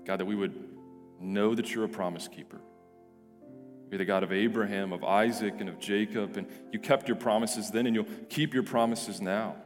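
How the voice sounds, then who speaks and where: A male pastor with a hoarse, deep, raspy voice, praying and then preaching earnestly, often restarting and repeating words. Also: steady tone, normal speed. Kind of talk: prayer and sermon. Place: church sanctuary. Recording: reverberant church hall with soft music.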